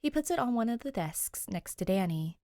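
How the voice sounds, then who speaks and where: A woman reading fiction aloud as an expressive podfic narrator. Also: a little high, normal speed, no room sound, studio quality. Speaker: podfic reader. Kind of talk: expressive scripted story narration.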